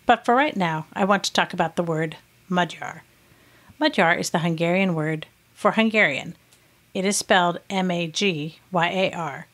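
A middle-aged American woman hosting a podcast, reading a scripted narration in a clear, patient, explanatory way. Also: fairly low pitch. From United States. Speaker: podcast host.

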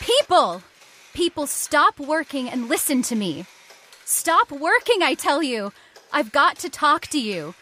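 A high, youthful female voice of an actress plays an impassioned heiress in an old-time radio horror drama. She speaks with theatrical urgency, pleading earnestly for her ideals. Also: sing-song, unhurried, sharp tone, a little hiss or hum, full character voice. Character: impassioned idealistic young heiress of island factory.

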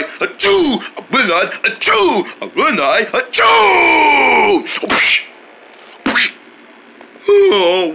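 A man voicing a clumsy, naive, excitable cartoon fellow who stammers and exclaims, in an amateur cartoon style. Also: high-pitched, a little hiss or hum, pitched below the performer's own voice, heavily acted. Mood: flustered.

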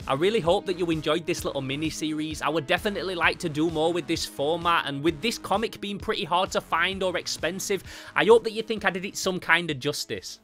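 Bright-voiced young man reviewing horror comics. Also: on the high side. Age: young adult. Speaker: YouTube comic book reviewer. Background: background music.

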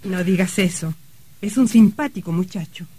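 An actress playing a coquettish, mocking middle-aged wife with a husky voice in an old radio drama. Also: female voice, on the low side, normal speed, dark tone, no echo. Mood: breezy.

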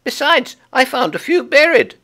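A man voicing a sly, jolly little male chipmunk in a storybook reading, with a high, coaxing, chirpy voice. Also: very animated, normal speed, pushed and bright, exaggerated voice.

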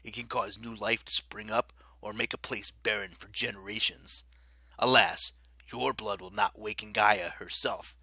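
A man voicing a mocking ancient werewolf king, speaking low and quiet with silky menace. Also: steady tone, a little slow, no echo, exaggerated voice.

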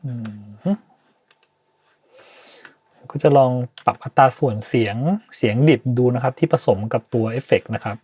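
A man hosting an informal software tutorial, speaking politely and hesitantly as he thinks aloud. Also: medium pitch, normal speed, pauses often, husky, no echo. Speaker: tutorial host, sound designer.

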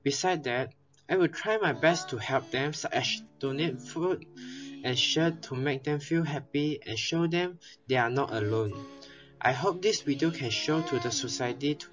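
A man's voice: on the high side, moderate pace, over music. A young man, a student, reading an earnest reflection at a careful pace. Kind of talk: read-out reflection.

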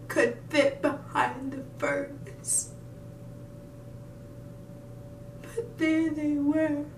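Woman acting a halting, unhinged grieving mother, echoey room. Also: exaggerated voice. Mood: sobbing anguish. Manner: halting, emphatic, swinging between hushed and wailing. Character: grieving, unhinged mother confronting her psychiatrist. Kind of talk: dramatic stage monologue. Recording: roomy, distant mic, noisy with rumble.

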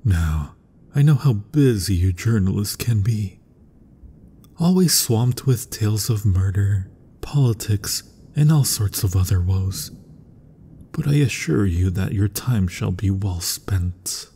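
Husky, breathy mad scientist, acted by a man. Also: fairly low pitch, animated, slow, warm tone, heavily acted. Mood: eager, assuring. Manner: florid, courteous, dramatic delivery. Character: eccentric, fervent male mad scientist, gothic horror doctor. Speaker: voice actor in audio roleplay. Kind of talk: ASMR roleplay, theatrical gothic monologue. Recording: long reverberant hall sound.

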